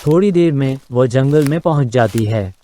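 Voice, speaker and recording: A man's voice: mid-range voice, expressive, normal speed, mellow, a little hiss or hum. A man narrating a scripted moral story for a children's cartoon.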